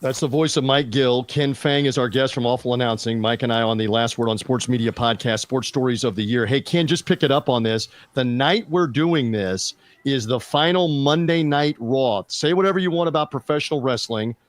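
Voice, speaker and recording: A man co-hosting a sports media podcast, chatting briskly and tossing questions to his guest. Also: mid-range voice, no echo. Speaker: podcast co-host.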